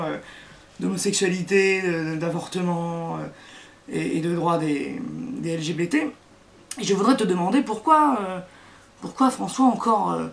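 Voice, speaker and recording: A man hosting a satirical comedy monologue, sarcastic and mocking, with a halting delivery full of restarts. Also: sits high, vocal fry.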